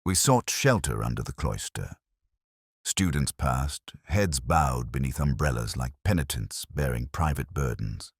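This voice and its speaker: Very deep-voiced male detective-story narrator. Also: lively intonation, measured pace, husky, no echo, studio quality. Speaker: audiobook-style narrator. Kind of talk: scripted first-person detective fiction narration.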